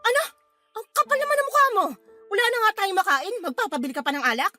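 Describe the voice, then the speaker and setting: Fed up filipina mom in a radio drama, high voice, swings all over, scolding hard. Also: female voice, sing-song, fast talker, pushed and bright, faint music behind, exaggerated voice. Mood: angry, exasperated. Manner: combative, scolding, emphatic. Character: feisty, fed-up scavenger mother berating her drunkard partner.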